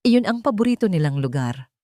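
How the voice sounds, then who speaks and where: A woman's voice: mid-range voice, very animated, fairly quick, warm tone, no echo, studio quality. A woman narrating a scripted, dramatic romance story.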